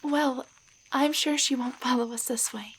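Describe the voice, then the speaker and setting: A woman's voice: high-pitched, steady tone, unhurried, slight background noise, low-quality recording. A woman playing a tiny, frightened enchanted doll, a cursed waitress, pleading hesitantly in a roleplay audio drama. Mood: wary, hushed. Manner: breathy, hesitant, urgent pleading.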